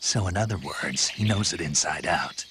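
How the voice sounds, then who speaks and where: An actor playing a confident male soldier in a video game, gruff, terse and matter-of-fact. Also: low-pitched, animated, moderate pace, background noise. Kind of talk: dramatic video game voice acting.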